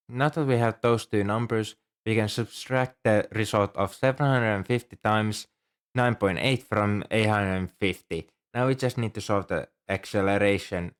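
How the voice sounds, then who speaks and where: A man's voice: fairly low pitch, smooth, lightly acted. A man performing an ASMR roleplay as a shy, earnest young student librarian, speaking in a hesitant, helpful and apologetic way. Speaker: amateur audio roleplay performer. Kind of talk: ASMR roleplay, scripted one-sided dialogue.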